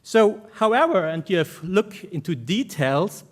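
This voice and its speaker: A man with a German accent giving an academic conference presentation, speaking in halting, informal phrases as a researcher. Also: sits high, sing-song.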